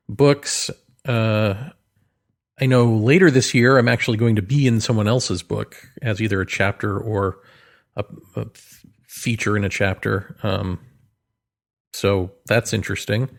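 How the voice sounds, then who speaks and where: A man hosting a solo podcast in a casual, rambling way, hesitant as he thinks aloud, with a wry, self-deprecating manner. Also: mid-range voice. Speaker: podcast host and author.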